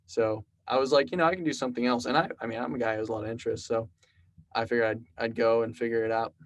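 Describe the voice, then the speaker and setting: A man in his twenties from South Texas, telling stories casually as a podcast guest, relaxed and rambling with lots of "like" and "you know". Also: medium pitch, very fast. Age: 20s. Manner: relaxed, rambling storyteller with many fillers. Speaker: podcast guest, programmer and musician. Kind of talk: casual conversational interview.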